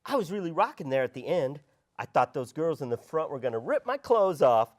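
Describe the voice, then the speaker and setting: A man's voice: a little high, very animated, brisk, a little mellow. A man playing a vain, cocky male rock star with a slangy way of talking in a script read. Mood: boastful, cocky. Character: vain, self-absorbed male rock star, boastful and prickly. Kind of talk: script table read acting.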